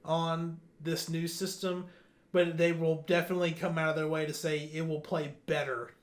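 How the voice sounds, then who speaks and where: Blunt man talking games, slightly higher voice. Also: flat delivery, normal speed. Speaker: gaming podcast host. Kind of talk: casual gaming news discussion.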